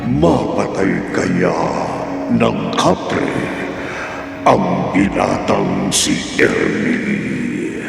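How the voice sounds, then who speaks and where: Dramatic radio horror announcer guy, deep n swooping, huge echo. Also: wildly swooping pitch, gravelly. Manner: drawn-out, theatrical delivery. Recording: heavy echo, long reverb, music.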